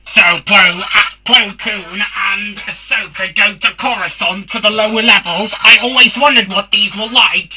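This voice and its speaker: A performer voicing a Dalek, a robotic alien warrior hosting a fan review show, with a harsh filtered voice and halting, emphatic delivery. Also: medium pitch, bright, forceful tone, husky, exaggerated voice. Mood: explaining.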